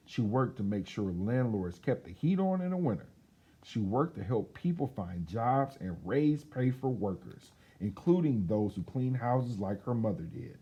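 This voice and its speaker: A man reading a children's picture book aloud in an expressive, emphatic storytelling manner. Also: medium pitch, husky, muffled recording. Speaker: children's read-aloud host.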